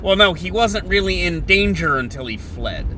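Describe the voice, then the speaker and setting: Male podcast host, slightly high and very forward, cutting tone; sardonic, unscripted opinion delivery over faint ambient noise. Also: animated, pushed and bright. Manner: opinionated, sardonic, halting between bursts. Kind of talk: unscripted political monologue, thinking out loud.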